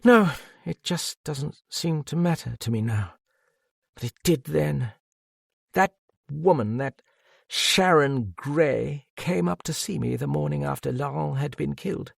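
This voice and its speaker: A woman's voice: deep voice, unhurried, gravelly, dry recording, clean studio sound, pitched above the performer's own voice, full character voice. A man voicing a proud, desperate woman who cries out with passion, bitter and near tears, in a read-aloud story.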